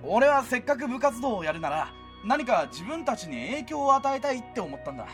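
A woman playing an eager, eccentric high-school boy with a theatrical, glib manner in an anime-style comedy voice drama. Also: very animated, fairly quick, over music. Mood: earnest, persuasive. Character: eager, eccentric teenage schoolboy club founder.

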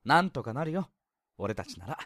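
A woman playing an easygoing, scheming older high school boy, breezy and glib, in a youth comedy audio drama. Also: big pitch swings, normal speed, fairly bright tone, no room sound, clean studio sound, full character voice. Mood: glib, upbeat. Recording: light music behind.

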